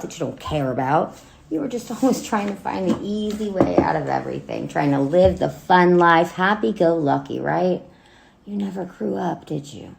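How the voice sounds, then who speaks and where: A woman acting a wounded, bitter grown daughter in a dramatic monologue, speaking haltingly and emotionally with a gravelly voice. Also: fairly low pitch, darkish tone, poor audio. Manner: halting, emotional, with wry laughs and swearing. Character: bitter, wounded grown daughter addressing her dead mother. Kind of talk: dramatic monologue cold read.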